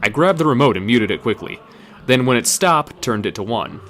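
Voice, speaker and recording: A man reads a scary story aloud as its narrator, in a medium-pitched voice. His delivery is theatrical and deliberate, shaped as a dramatic reading. The recording sounds homemade, with faint noise behind the voice. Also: expressive, fairly bright tone.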